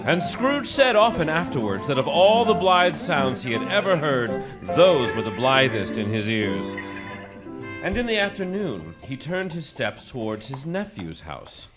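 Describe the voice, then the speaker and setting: A man's voice: fairly high pitch, sing-song, measured pace. A man telling a story as the narrator of a scripted radio drama. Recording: loud music behind the voice.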